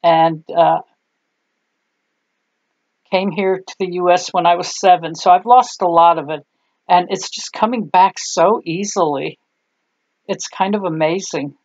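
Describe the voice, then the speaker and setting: A woman's voice: on the low side, steady tone, unhurried, long pauses, a little mellow, no echo, muffled recording. An older woman, German her first language, chatting in a homey, rambling way while she shows a clay craft, tired at the end of the day. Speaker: craft tutorial host. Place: home craft table, indoors.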